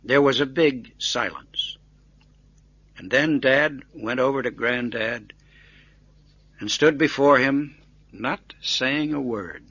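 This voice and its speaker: A man narrating a scripted story in a deliberate, expressive, dramatic way. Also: medium pitch, breathy edge, no room sound, muffled recording.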